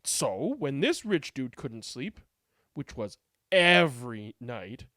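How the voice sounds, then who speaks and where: A woman's voice: a bass voice, big pitch swings, takes it slowly, fairly bright tone, no echo, studio quality. A woman reading a children's storybook aloud as narrator, with a dramatic, comic, emphatic delivery.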